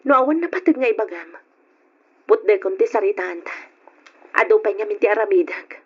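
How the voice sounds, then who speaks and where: A woman's voice: mid-range voice, lively intonation, normal speed, slightly rough. A woman playing a troubled, hesitant young woman hiding her feelings, subdued and sighing, in an old Ilocano radio drama. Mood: curt, evasive. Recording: dull lo-fi old radio recording.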